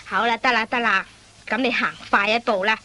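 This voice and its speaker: Woman acting a sharp-voiced, no-nonsense mother. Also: a little high, steady tone, fairly quick. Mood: mocking. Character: poor, no-nonsense mother, scolding and mocking. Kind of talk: Cantonese radio comedy acting. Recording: faintly noisy old radio recording.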